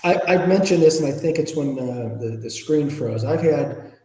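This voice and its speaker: A man's voice: on the high side, moderate pace, mellow, slight background noise. Echoey middle-aged man, plain-spoken interview guest. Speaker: podcast guest, ethics trainer and public speaker. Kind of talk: conversational interview answers. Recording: echoey room with long reverb.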